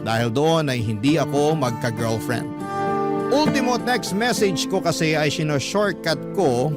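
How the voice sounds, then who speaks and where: A man's voice: a little high, animated, unhurried. A man with a Filipino accent playing a shy, awkward letter writer in his late twenties, narrating a radio love story in a confiding way. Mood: reflective. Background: music.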